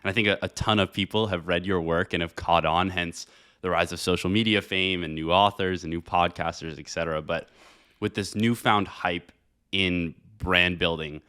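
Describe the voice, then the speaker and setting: A man's voice: sits low, fairly bright tone, clean tone. A man hosting an interview, putting conversational questions to his guest. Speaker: interviewer, podcast host.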